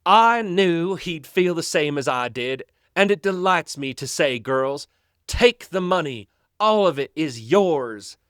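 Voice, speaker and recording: A man voicing a scheming male con man posing as an English gentleman, with a Southern accent that badly attempts to sound British, fawning and showy. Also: a little high, expressive, slow pace, clean tone, no room sound, clean studio sound, voice pushed up. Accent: Southern US put on, attempting British badly.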